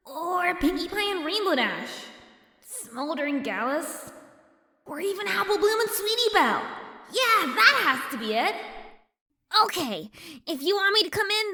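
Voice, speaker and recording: Woman doing a young girl pony for an audio story, high bright voice, curious n brash but jumpy. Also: a little slow, full character voice. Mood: defiant. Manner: youthful, expressive, talking to herself. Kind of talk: acted dialogue in a fan fiction audio reading.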